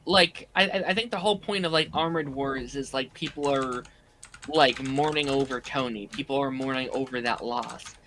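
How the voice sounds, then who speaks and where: Slightly hoarse man, rambling chat. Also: medium pitch, moderate pace, pushed and bright, a little hiss or hum. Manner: rambling, many "like" fillers. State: losing his voice, a little hoarse. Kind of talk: casual podcast chat.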